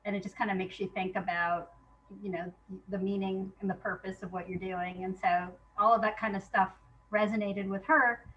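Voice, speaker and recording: A woman speaking as an interview guest, a playwright chatting casually about her work and telling it in an animated way, acting out remembered exchanges. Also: mid-range voice, normal speed, dull audio, a little hiss or hum.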